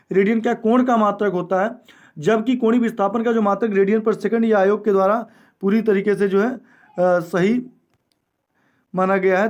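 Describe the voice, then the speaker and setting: A man's voice: sits high, darkish tone. A man teaching an exam coaching lesson, explaining step by step, repeating key statements and mixing in English terms. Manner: mixes English terms into speech. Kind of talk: explanatory lecture going through exam questions.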